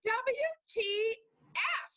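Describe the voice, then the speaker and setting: A woman's voice: very high voice, drawn-out words, bright, forceful tone, full character voice. A woman playing a blunt, sarcastic, exasperated goddess in a comedy table reading, incredulous and confrontational.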